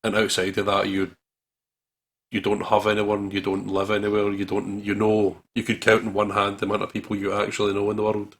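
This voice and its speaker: Male gaming co-host, low voice, raspy, gravelly fry, casual joking banter. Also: monotone, a little fast, fairly bright tone. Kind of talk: casual let's play banter.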